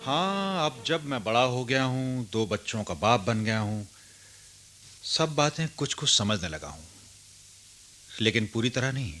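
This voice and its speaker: A man's voice: mid-range voice, sing-song, unhurried, long pauses, bright, forceful tone. A man playing a reflective grown householder who narrates his memories in a radio play.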